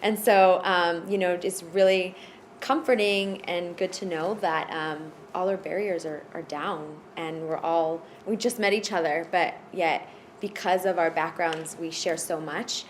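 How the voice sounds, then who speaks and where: A woman's voice: fairly low pitch, fairly even intonation, a little slow, a little hiss or hum. A woman from Florida, a podcast guest, telling her personal story in a casual, hesitant way with lots of fillers and restarts. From United States (Florida).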